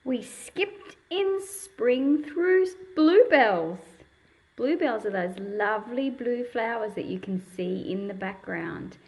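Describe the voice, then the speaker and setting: A woman's voice: on the high side, expressive, takes it slowly, slight background noise. A woman reading a picture book aloud to young children and explaining it in simple words, in a patient, teacherly manner.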